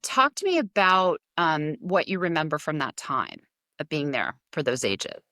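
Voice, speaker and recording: Woman podcast host, lowish voice, chatty rambling questions, dry sound. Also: big pitch swings, moderate pace, bright, forceful tone, no echo. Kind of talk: conversational interview.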